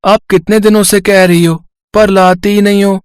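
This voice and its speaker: Guy doing a little boy, high voice, teasing n eager, dry close sound, kids story. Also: flat delivery, normal speed, clean tone, dry recording, natural voice. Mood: pleading. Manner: childlike, teasing. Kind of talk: Hindi children's story voice acting.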